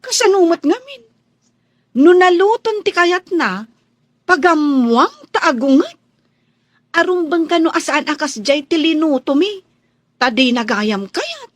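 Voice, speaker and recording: A woman acting in a radio drama as a chatty, cheeky young housemaid with a playful, drawn-out way of talking. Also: very high-pitched, big pitch swings, no room sound, heavily acted. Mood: cheeky, insistent.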